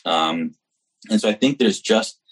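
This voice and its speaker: A deep, husky male voice from New Hampshire, talking with earnest enthusiasm as an interview guest. He runs on in long, eager stretches of casual conversation. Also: animated, a little slow. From New Hampshire, US East Coast. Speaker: executive chef, guest.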